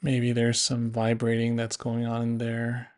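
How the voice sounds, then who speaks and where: A man narrating a guided body scan meditation in a gentle, unhurried, instructive manner. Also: mid-range voice, completely flat delivery.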